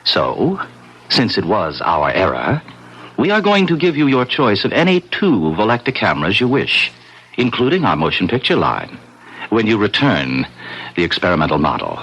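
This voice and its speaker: Slick creepy salesman from 70s radio play, sharp husky voice, way too familiar. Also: fairly low pitch, huge pitch swings, normal speed, full character voice, low-quality recording. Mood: slick, persuasive. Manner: glib, insinuating, over-familiar. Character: smooth-talking, quietly menacing male camera salesman. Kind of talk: 1970s radio drama acting.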